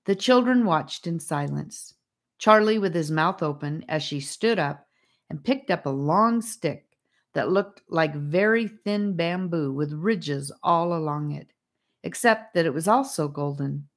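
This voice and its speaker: Female audiobook narrator, low register, scripted storytelling for a children's fantasy. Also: animated, measured pace.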